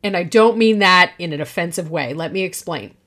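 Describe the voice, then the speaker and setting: A woman's voice: lowish voice, brisk, a bit bright. A woman in her forties hosting a solo podcast, talking in a confident, projecting and emphatic way. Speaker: podcast host.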